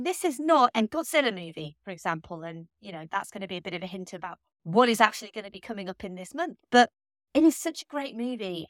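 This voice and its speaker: A woman hosting a podcast alone, talking in a chatty, enthusiastic way while still slightly hoarse from laryngitis. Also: on the high side, dry recording, studio quality. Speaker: podcast host. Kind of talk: solo podcast monologue.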